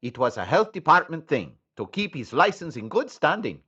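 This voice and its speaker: A man's voice: fairly high pitch, big pitch swings, normal speed, pushed and bright, slightly rough, lo-fi sound, heavily acted. An actor playing a cheeky, sarcastic male hairstylist questioned by detectives in a comedic radio drama. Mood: sassy. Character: sassy male hairstylist suspect.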